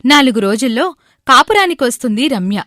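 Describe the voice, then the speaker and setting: Fairly high-pitched woman story narrator. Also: animated, fairly quick, sharp tone, no room sound, clean studio sound. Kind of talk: scripted moral story narration.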